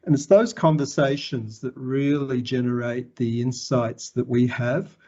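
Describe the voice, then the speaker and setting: Man, Australian accent, formal presenter, halting delivery, dark relaxed tone, dulled sound. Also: mid-range voice, muffled recording. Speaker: conference presenter, health organisation chief executive. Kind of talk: conference presentation.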